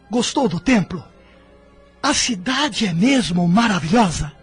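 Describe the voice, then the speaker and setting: A man's voice: sits high, husky, heavily acted. A man playing a prying, mocking older brother in a radio drama, with pointed, teasing delivery. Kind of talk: dramatized religious radio serial acting. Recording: music under the voice.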